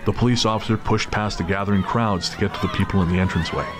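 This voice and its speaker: A man delivers scripted story narration in a very deep register. He serves as the narrator of an audio drama. Urban ambience runs clearly behind his voice. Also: steady tone, racing, a crowd in the background. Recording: clear background noise of city ambience.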